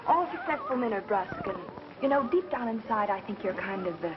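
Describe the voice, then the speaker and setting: An actress playing a coquettish, flattering young radio performer, sweet-talking and coaxing in 1930s film style. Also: female voice, medium pitch, fairly quick, lightly acted. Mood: coy, flattering. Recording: muffled, noisy 1930s film soundtrack.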